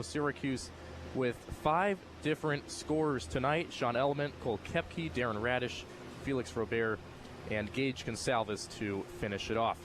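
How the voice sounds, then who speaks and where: A man's voice: mid-range voice, a little hiss or hum. A young American man doing sports commentary as a broadcaster, with live arena sound behind him. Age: young adult. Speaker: sports broadcaster, play-by-play announcer and postgame radio host.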